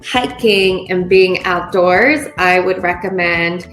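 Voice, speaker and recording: A woman from California giving measured, thoughtful travel advice. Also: lowish voice, fairly bright tone, faint music behind. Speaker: interviewee. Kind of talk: casual recommendations. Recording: echoey room.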